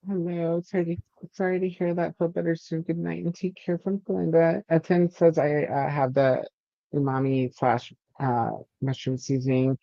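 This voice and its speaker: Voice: medium pitch, little pitch movement, normal speed, gravelly, mellow, no room sound, lo-fi sound. A host chatting casually and reading out chat on a livestream, with a joking, sweary way of talking.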